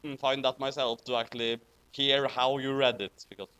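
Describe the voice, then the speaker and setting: A man's voice: mid-range voice, level tone, normal speed, fairly bright tone, clean tone. A man hosting a comedic fanfiction reading, mixing read-aloud lines with casual commentary.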